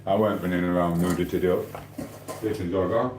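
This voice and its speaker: Lowish-voiced Sheffield man bantering live. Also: steady tone, fairly quick, reverberant, noisy background. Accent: Sheffield Yorkshire. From Sheffield, England. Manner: banter-heavy, mock trash-talking, rambling. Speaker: livestreamer. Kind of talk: late-night live stream chat. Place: home kitchen at night.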